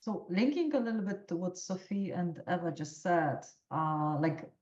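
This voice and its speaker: Woman supervisor, very low voice, roomy lo-fi sound, hesitant. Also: lively intonation, moderate pace, darkish tone. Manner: hesitant, thinking aloud with restarts. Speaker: coaching supervisor in discussion. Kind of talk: reflective discussion.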